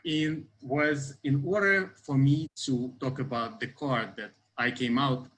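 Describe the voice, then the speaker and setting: Bright-voiced Ukrainian-accented man in his thirties. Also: medium pitch, steady tone, measured pace, rough recording. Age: 30s. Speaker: union election candidate. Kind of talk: campaign talk, semi-formal discussion.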